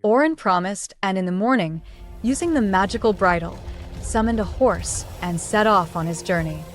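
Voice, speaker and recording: A woman's voice: mid-range voice, normal speed. A woman reading a scripted fairy tale as its narrator. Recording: music under the voice. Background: prominent music.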